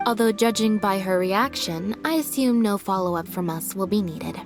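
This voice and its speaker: A woman's voice: medium pitch, normal speed, music in the background, close to an everyday voice. A woman voicing a cool, blunt young female detective in a video game, composed, analytical and matter-of-fact. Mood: cool, deductive. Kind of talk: dramatic video game voice acting.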